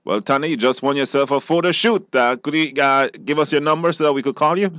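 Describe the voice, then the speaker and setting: A man's voice: on the high side, fast, fairly bright tone, smooth, no echo. A Belizean man hosting a live radio call-in quiz in a casual, chatty style. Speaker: radio show host.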